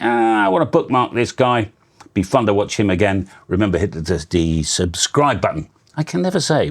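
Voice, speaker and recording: A man giving a casual hands-on radio tutorial, a loud, energetic talker who explains in a chatty way with frequent restarts. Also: on the low side. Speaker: radio channel host.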